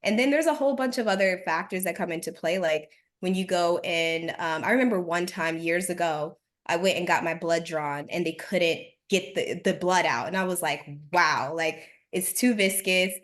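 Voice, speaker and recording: A woman's voice: lowish voice, moderate pace, few pauses, fairly bright tone. Upbeat woman fitness trainer on a call. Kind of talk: virtual panel discussion. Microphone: video call.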